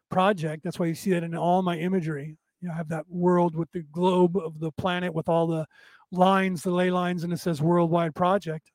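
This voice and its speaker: Hoarse, raspy high-voiced male host. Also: dry recording. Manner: rambling, emphatic, addresses listeners directly. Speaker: show host. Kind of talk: late-night spiritual talk show monologue.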